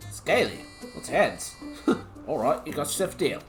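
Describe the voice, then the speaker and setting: A streamer voicing a rough male monster hunter in an Australian accent, with a folksy, slangy drawl. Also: mid-range voice, big pitch swings, a little slow, faint music behind. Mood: matter-of-fact. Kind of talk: reading game dialogue aloud on stream. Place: home streaming setup.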